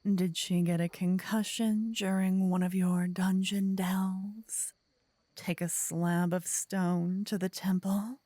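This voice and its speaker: A woman's voice: medium pitch, level tone, slow, warm tone, no echo. A woman voicing a possessive, teasing demon queen in a fantasy ASMR audio roleplay, theatrical and gloating. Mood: scornful, possessive.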